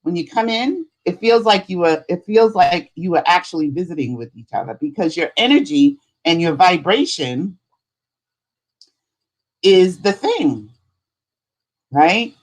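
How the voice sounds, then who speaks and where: Slow-paced woman chatting on a livestream. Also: lowish voice, very animated. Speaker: host of a live spirituality stream. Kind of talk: casual livestream chat.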